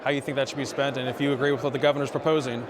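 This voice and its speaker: A man's voice: mid-range voice, little pitch movement, very fast. A man working as a TV reporter, doing live interviews in a polite, informal way. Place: large indoor statehouse lobby. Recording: noisy public lobby ambience.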